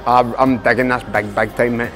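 Young gym bro. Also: male voice, mid-range voice, normal speed, faint music behind. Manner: swearing, excitable and loud when spotting. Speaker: fitness vlogger. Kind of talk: casual gym banter. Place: large indoor gym.

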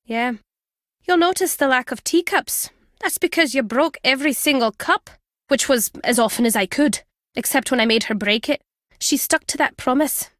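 An older British ex-spy, video game character, high-pitched, sardonic, guarded but fond, close dry sound. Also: female voice, normal speed, a bit bright, dry recording. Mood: wry, reminiscing.

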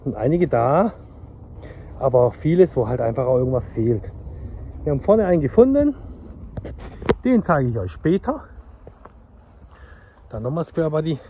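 A man's voice: a little high, very animated, moderate pace, pauses often, warm tone, lo-fi sound, slight background noise. A man in his thirties talks casually and eagerly to his viewers on a phone camera, outdoors at a junkyard and inside a car. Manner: chatty, enthusiastic, addresses viewers directly. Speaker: classic car dealer. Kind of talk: casual vlog commentary.

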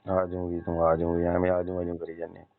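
A man's voice: deep voice, monotone, racing, soft background music. A man playing a wheedling, insistent elderly father-in-law in a staged phone call, pressing and nagging with grunted question tags. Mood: reproachful, pressing. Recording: tinny narrow-band phone call.

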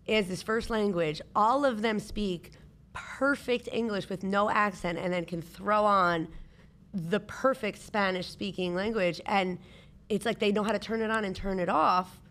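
A woman in a casual panel conversation on a studio mic. She speaks at a middling pitch, stresses her points by repeating them and swears freely. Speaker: podcast guest. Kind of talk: casual candid panel chat. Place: podcast studio with brick walls. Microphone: close studio microphone.

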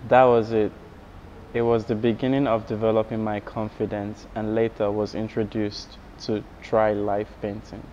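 A man voicing interview answers as an interpreter, in a measured read-out style. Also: lowish voice, a little mellow. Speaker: interpreter voicing a deaf artist's signed answers. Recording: dull, noisy recording.

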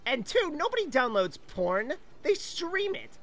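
A woman voicing an excitable, smug teenage boy gamer in an audiobook. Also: high voice, measured pace, slight background noise, full character voice. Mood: condescending. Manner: smug, emphatic.

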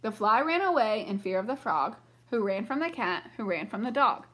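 A woman's voice: mid-range voice, animated, moderate pace, a bit bright. A woman voicing an earnest little boy in a rhyming picture book, in a sing-song manner. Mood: explaining. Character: little boy calling out for help.

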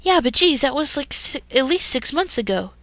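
A woman's voice: high-pitched, expressive, slow pace, pushed and bright, no room sound, pitched above the performer's own voice, full character voice. A woman voicing a feisty, stubborn teenage girl in a fan story reading. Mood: defensive.

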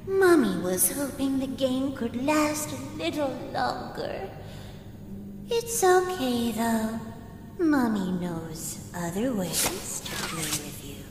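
A woman voicing a giant mother toy monster in a horror game, theatrical and mock-motherly, with singsong, drawn-out phrasing that carries menace. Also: a little high, lively intonation, very echoey, in a large hall, over music. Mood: sickly-sweet, taunting.

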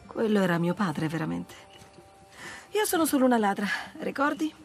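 A woman's voice: mid-range voice, big pitch swings, moderate pace. A woman playing a wry, confident, teasing treasure hunter in an Italian video game dub. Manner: wry, self-assured, teasing. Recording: background music under dialogue.

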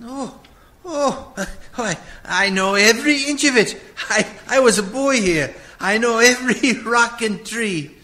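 An actor playing a querulous, fearful old miser in a radio play, hesitant and stammering. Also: male voice, extremely high pitch, unhurried, pushed and bright, heavily acted. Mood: wistful.

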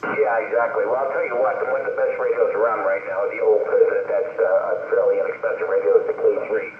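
A man's voice: lowish voice, fairly even intonation, fast talker. Elderly man over crackly, narrowband radio. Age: 70s. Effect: radio transmission. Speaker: amateur radio operator. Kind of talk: casual ham radio conversation and calling. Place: heard through a radio speaker in a room. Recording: narrowband shortwave radio, static, distant, echoey.

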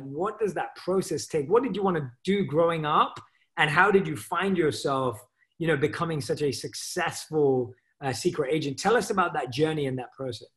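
A man in his thirties hosting an interview, reading an ad and then asking eager, rambling questions from his home study. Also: fairly high pitch. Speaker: podcast host.

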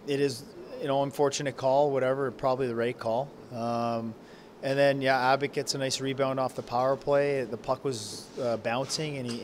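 A man in his forties, a head coach, answering post-game press questions in a halting, subdued way with many fillers. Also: medium pitch, slight background noise. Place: press room backdrop. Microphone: handheld reporter mics held close.

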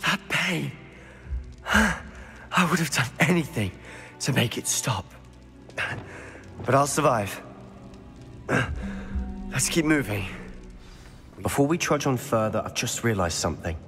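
A man's voice: mid-range voice, expressive, takes it slowly, pauses often, fairly bright tone, soft background music. A man voicing a determined, loyal teenage wizard schoolboy with a British accent in a video game, earnest and well-spoken. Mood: pained.

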